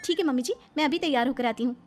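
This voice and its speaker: A woman voicing a young, bold, cheerful daughter-in-law obsessed with films, playful and theatrical in an animated story. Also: high-pitched, racing, soft background music, lightly acted. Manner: playful, theatrical, delivers dramatic movie-style lines. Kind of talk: animated moral story dialogue.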